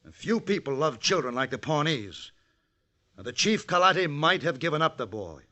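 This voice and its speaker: Steady gunslinger peacemaker, crisp bright voice, measured and firm, old radio western. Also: male voice, a little high. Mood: earnest, persuasive. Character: calm, principled gunfighter, firm peacemaker. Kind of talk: vintage radio western acting. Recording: vintage radio recording.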